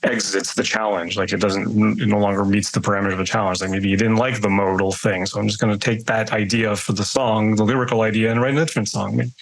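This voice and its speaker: A man's voice: fairly low pitch, little pitch movement, fast talker, creaky, few pauses, low-quality recording. A man with a Toronto accent hosting a podcast, chatting casually and rambling, with lots of fillers and restarts. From Canada. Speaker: podcast host. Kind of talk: casual chat.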